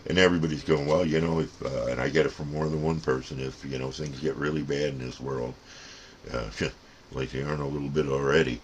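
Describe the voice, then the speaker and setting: Older man, bottom-register pitch, folksy plain-spoken delivery, rambling casual monologue, noisy ambient recording. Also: fairly even intonation, a little fast. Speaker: radio hobbyist and channel host.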